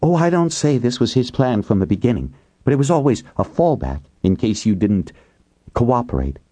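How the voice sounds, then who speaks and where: A man's voice: mid-range voice, sing-song, normal speed, warm tone, slightly rough, no echo, voice pushed up. A man voicing an earnest, accusing young woman in an audiobook, pointed and insistent.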